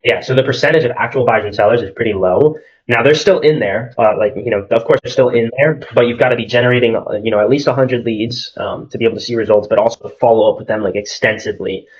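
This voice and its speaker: A man's voice: medium pitch, quick pace, highs cut off. A young man from Arizona running a sales call, casual and filled with "like" and "at the end of the day". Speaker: marketing agency salesman.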